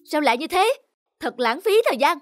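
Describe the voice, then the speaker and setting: A woman's voice: sits high, very animated, fairly quick. A woman voicing a brusque, schedule-obsessed husband on holiday in a cartoon dub, with music underneath. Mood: irritated. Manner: brusque, insistent. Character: impatient, schedule-obsessed adult husband, bossy tourist.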